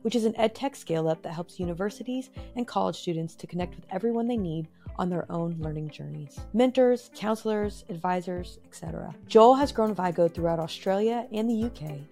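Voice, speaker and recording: Woman podcast host, low-pitched, upbeat, faint music bed. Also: fairly quick, vocal fry, few pauses, warm tone. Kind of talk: scripted intro then casual interview.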